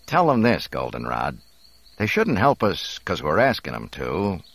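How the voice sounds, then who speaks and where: A man voicing a roguish smuggler in an audiobook, speaking bluntly and haltingly in plain colloquial words. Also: low voice, huge pitch swings, gravelly, fairly bright tone, no echo, exaggerated voice. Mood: earnest pleading. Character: roguish adult male smuggler, gruff but earnest.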